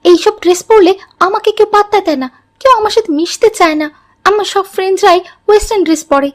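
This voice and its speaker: A woman's voice: extremely high pitch, quick pace. A woman voicing an earnest, stubborn teenage college girl in a moral-tale cartoon, speaking in careful, formal sentences. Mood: defiant.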